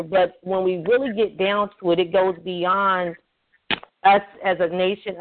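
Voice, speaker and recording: A woman hosting a call-in radio show, speaking in an earnest, affirming and measured way. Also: lowish voice. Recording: tinny phone line with faint hiss.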